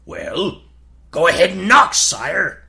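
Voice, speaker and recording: A woman's voice: on the low side, big pitch swings, slow pace, vocal fry, sharp tone, pitched below the performer's own voice, exaggerated voice. A woman voicing a cantankerous male squire in a gag-dub cartoon radio play, dry, sarcastic and grumbling.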